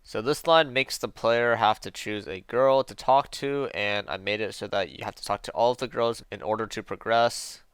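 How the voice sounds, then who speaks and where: A man's voice: lowish voice, normal speed, few pauses, fairly bright tone, clear, resonant tone, no echo. A young man giving scripted video narration in a casual, self-deprecating way. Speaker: amateur game developer narrating a video.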